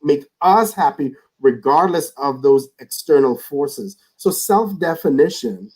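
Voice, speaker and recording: Man, slightly higher voice, earnest, repeats words at starts, reflective conversation, video call. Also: lively intonation, a little slow, darkish tone, low-quality recording. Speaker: guest.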